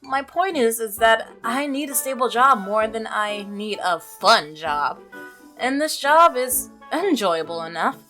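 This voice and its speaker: A woman voicing an earnest, practical milkman from a visual novel, devoted and sincere in a measured way. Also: a little high, animated, bright, forceful tone, soft background music. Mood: matter-of-fact. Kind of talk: visual novel character reading.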